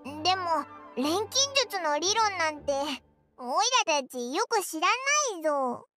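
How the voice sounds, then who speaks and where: Tiny cheeky fairy sidekick, squeaky high voice that bounces all over, bright and sharp. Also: female voice, very animated, slow, soft background music, full character voice. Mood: curious. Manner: blunt, teasing, says what she thinks. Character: small floating fairy companion, cheeky, blunt. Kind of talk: Japanese game dub.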